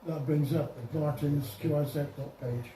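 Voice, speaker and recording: A man giving an informal software demonstration to a group, explaining step by step with some hesitation and self-correction. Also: on the high side, fairly even intonation, unhurried. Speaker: presenter at an amateur radio club. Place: indoor club meeting room. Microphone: distant room microphone. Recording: echoey room, distant mic, faint noise.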